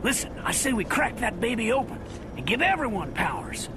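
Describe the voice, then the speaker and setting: An actor playing an excitable, paranoid, folksy slacker sidekick in a video game, with an animated delivery. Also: male voice, very high-pitched, fairly quick, exaggerated voice. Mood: urgent, scheming. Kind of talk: video game cutscene acting. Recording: clear background noise.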